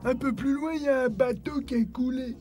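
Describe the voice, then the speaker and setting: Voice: high voice, steady tone, fairly quick, mellow, natural voice. Performer doing an earnest little cartoon fish. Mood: puzzled. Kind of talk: cartoon dub in French. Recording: music behind the voice.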